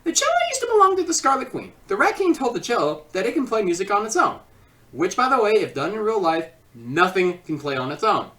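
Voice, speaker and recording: A woman giving a casual, rambling, excitable comedic film review. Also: mid-range voice, a little fast, distant mic.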